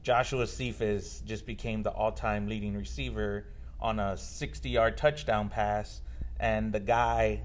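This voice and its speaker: Rambling low-voiced man on a podcast. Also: fairly even intonation, a little slow. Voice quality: loud voice that carries. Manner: rambling, lots of fillers and restarts. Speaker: sports reporter. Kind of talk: casual podcast chat. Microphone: held far from mouth.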